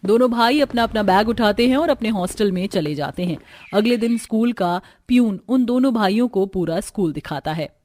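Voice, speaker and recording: A woman's voice: medium pitch, animated, normal speed, darkish tone. A woman narrating a scripted cartoon story as its storyteller.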